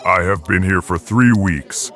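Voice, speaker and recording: A man's voice: low voice, unhurried, fairly bright tone. An actor playing a jittery, suspicious young male call center agent in an audio drama, speaking in urgent, insistent short phrases. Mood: suspicious, hushed. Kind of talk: scripted audio drama acting.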